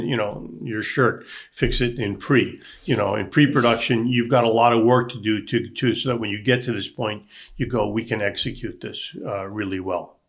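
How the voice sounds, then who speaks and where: A man with a medium-pitched voice talks over a script informally, like an actor among colleagues. His phrases come haltingly, and he tends to speak out imagined lines to illustrate what he means. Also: fairly bright tone. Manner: halting, acts out imagined quotes. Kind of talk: casual discussion of a script.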